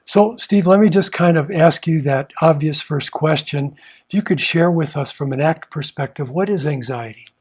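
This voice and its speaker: High-pitched man teaching, recorded at a distance. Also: moderate pace, a little mellow. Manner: earnest, repeats phrases for emphasis. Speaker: host, therapist teaching a class and interviewing. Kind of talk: self-help teaching and interview hosting.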